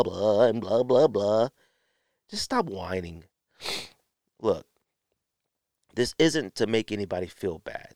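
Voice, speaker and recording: A man with a fairly low voice hosts a solo podcast. He delivers an opinionated rant, blunt and exasperated, leaning on emphatic rhetorical questions. The sound is dry, with hardly any room to it. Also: smooth, no echo. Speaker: podcast host.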